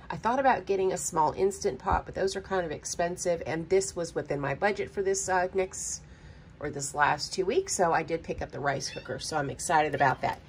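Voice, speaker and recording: A woman's voice: fairly low pitch, a little hiss or hum. A middle-aged woman with a Southern American accent, chatting casually through a shopping haul at her kitchen counter, with frequent ums and asides. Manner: chatty, rambling, many ums, baby talk to her cat. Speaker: budgeting vlogger. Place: home kitchen.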